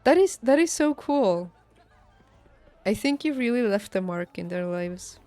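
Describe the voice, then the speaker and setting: A woman's voice: medium pitch, expressive, measured pace, dark tone. Casual woman streamer over game music. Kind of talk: casual livestream chat. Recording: game music playing behind the voice. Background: video game music.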